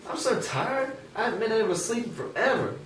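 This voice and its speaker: A man's voice: high-pitched, big pitch swings, fast talker, a bit bright. A man voicing an exhausted, frightened young boy working in a factory, in an amateur puppet show. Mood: weary, glum. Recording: echoey room, distant mic, faint noise.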